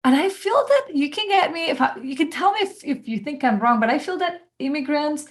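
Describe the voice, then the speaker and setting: A woman hosting a podcast interview, chatty and lively, laughing often as she talks. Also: high-pitched, fairly quick. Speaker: podcast host and coach. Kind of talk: casual interview chat.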